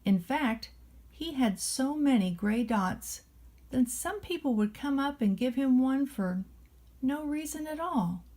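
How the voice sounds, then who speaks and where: A woman reading a children's storybook aloud as a nanny, in a measured, expressive storytelling manner. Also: high voice. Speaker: storybook reader playing a nanny.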